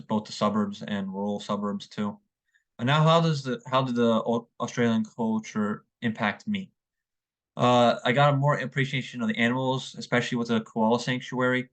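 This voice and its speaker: A man's voice: fairly low pitch, a bit bright. A young American man from the Northeast presenting a class essay, hesitant, with many fillers and restarts. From northeastern United States. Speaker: student.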